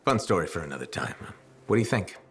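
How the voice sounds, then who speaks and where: A deep, calm, dry-humored mercenary. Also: male voice, fast, creaky, faint music behind, full character voice. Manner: calm, dry, gently reassuring. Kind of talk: video game cutscene acting.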